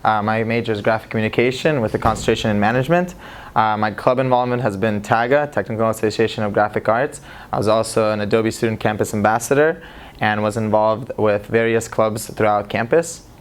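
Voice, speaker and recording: Young man, Russian accent, quick pace, casual storytelling, graduating student. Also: lowish voice, fairly even intonation. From Ukraine. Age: young adult. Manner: quick storytelling with frequent uh fillers. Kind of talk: casual personal introduction.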